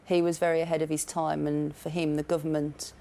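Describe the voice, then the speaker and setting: A woman's voice: sits low, little pitch movement, brisk, gravelly, a crowd in the background. A young adult woman chatting about a book as a member of a discussion panel.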